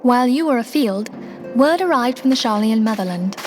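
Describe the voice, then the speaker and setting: A woman acting a poised noble leader in a fantasy game. Her fairly high voice is formal and earnest, with careful phrasing and faint music behind it. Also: lively intonation, brisk, a little mellow, close to an everyday voice. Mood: troubled. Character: composed, dignified noblewoman leader, gravely earnest.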